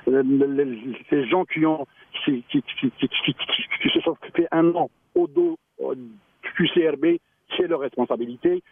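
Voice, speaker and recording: A man, a local mayor, speaking on a radio phone-in, halting and repeating words, with a choked-up voice. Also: a little high, very animated, vocal fry, background noise. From Algeria. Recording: tinny phone line.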